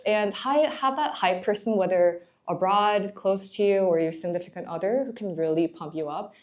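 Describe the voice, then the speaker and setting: A woman's voice: medium pitch, moderate pace, darkish tone. A young woman from Korea, a management consultant, giving candid, encouraging career advice in a chatty way.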